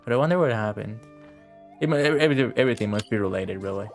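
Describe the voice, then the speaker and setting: A man's voice: lowish voice, expressive, rapid-fire, creaky, faint music behind. A man giving casual live game commentary as a streamer, rambling and thinking aloud.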